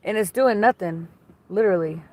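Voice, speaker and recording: Blunt, slightly raspy woman on a livestream. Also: medium pitch, normal speed, darkish tone. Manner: blunt, profane, gossipy. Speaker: livestream host. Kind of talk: casual livestream chat, reading viewer comments.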